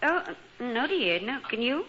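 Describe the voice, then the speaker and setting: A dull vintage radio comedy recording from the 1940s. a fluttery middle-aged mother in a bright, highish voice, sweetly naive and gushing. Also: big pitch swings, unhurried, heavily acted. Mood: sweetly puzzled. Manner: gushing, scatterbrained. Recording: muffled, slightly noisy 1940s radio recording.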